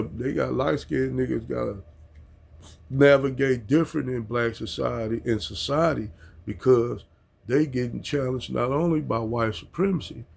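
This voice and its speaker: A man's voice: medium pitch, gravelly, a little mellow, husky. An American man in a casual rant at home, talking bluntly and with heavy profanity, chuckling at his own points. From United States. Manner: blunt, heavily profane street talk, chuckles at himself. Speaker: vlogger.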